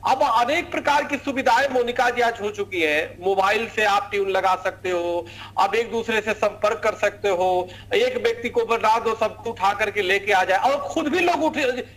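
A man's voice: extremely high pitch, a bit bright, poor audio. A middle-aged man arguing emphatically as a religious leader and guest in a TV news debate. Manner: emphatic, argumentative. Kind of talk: TV debate argument.

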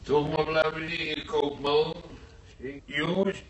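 An actor playing an elderly, sleepless hospital patient in a radio drama, plaintive and rambling. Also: male voice, fairly high pitch, flat delivery, slow, dull audio. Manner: plaintive, rambling, repeating himself. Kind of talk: old radio drama acting. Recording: noisy, echoey room, distant microphone.

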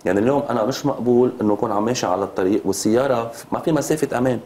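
A man's voice: mid-range voice, rapid-fire, darkish tone. A man in his fifties with a Lebanese Arabic accent, speaking insistently and repeating phrases as a studio interview guest. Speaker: road safety advocate, TV guest. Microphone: lapel mic.

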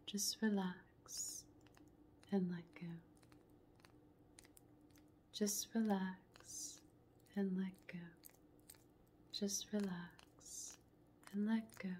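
A woman in her thirties speaking as an ASMR reiki healer, in a soothing, breathy soft voice that often drops toward a whisper, repeating gentle affirmations. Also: mid-range voice, slight background noise. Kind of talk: slow ASMR guided relaxation, partly whispered and chanted. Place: small home room, indoors.

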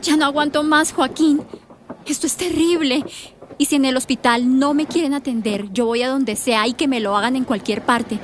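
A woman's voice: high voice, moderate pace, a little hiss or hum, natural voice. An actress playing an anxious, earnest young Colombian woman in a radio soap opera. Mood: desperate, determined. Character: distressed young pregnant woman, anxious yet determined.

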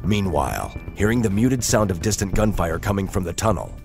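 A man's voice: deep voice, very animated, fairly quick, creaky, exaggerated voice. A man narrating an audio drama in an intense, portentous storytelling manner. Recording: loud cinematic music under narration. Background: loud dramatic music.

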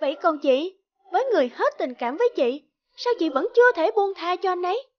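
A woman's voice: extremely high pitch, big pitch swings, a little fast, dry recording, highs cut off. A woman playing a brazen, defiant young mistress in a cartoon drama. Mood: smug.

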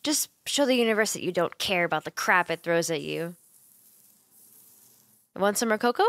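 A young woman voicing an affectionate, teasing, sleepy roommate in a gentle, intimate ASMR comfort roleplay, close to the microphone. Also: lowish voice, lively intonation, normal speed, no echo. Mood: comforting, casual. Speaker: roleplay voice actor. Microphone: close microphone, dry.

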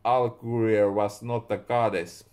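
Slow halting Finnish-accented man reading. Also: lowish voice, little pitch movement, a little mellow. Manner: halting, rereads and corrects his words. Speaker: author reading his own book. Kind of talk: slow reading of a story aloud. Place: small room indoors at home.

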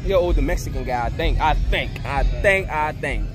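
A man with a high voice strolls an outdoor car show with a handheld camera, chatting excitedly in slang about wheels and paint jobs. Loud music thumps in the background. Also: brisk. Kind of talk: casual chat while walking a car show.

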